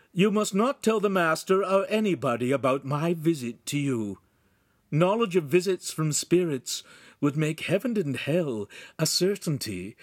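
A man's performance of a commanding spirit, deliberate, instructive, raised lilting pitch, storybook fantasy, dry recording. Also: no echo. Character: commanding spirit visitor giving solemn instructions.

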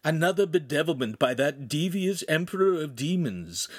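A man's voice: on the high side, lively intonation, normal speed, no echo, studio quality, pitched above the performer's own voice. A man voicing a small holographic imp in an audiobook, mocking and pompous, declaiming in a florid, grandiose way. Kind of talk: audiobook character voice.

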